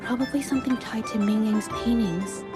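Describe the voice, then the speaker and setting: A woman playing a polite, thoughtful young female investigator in a video game, speaking in a measured, gentle, analytical way. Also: fairly high pitch, natural voice. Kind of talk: video game story voice acting. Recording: music under the voice.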